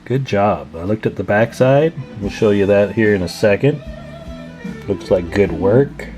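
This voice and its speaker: A man with a low voice talks over clearly audible plucked guitar music. He presents like a hobbyist at the bench, casual and folksy, thinking out loud as he walks through what is in front of him. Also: expressive, measured pace. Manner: musing, thinking aloud, folksy. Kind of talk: casual hands-on repair walkthrough.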